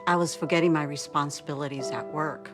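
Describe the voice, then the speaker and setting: A woman's voice: deep voice, fairly even intonation, fairly quick, natural voice. A woman playing a sober, reflective mother in a testimonial ad, with music behind her. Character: mother facing memory loss, sober and reflective. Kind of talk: testimonial in a public service ad. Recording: ad with prominent music underneath.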